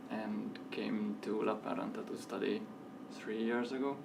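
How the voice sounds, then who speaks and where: A young man from Hungary in his twenties, Hungarian native, giving quiet brief answers as a panel guest. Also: steady tone, measured pace, gravelly. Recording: distant mic with rumble.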